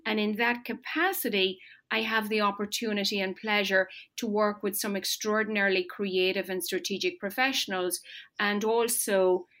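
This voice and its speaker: A middle-aged woman from Ireland, a marketing executive answering interview questions in a measured, articulate way. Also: mid-range voice, steady tone, a bit bright. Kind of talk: podcast interview.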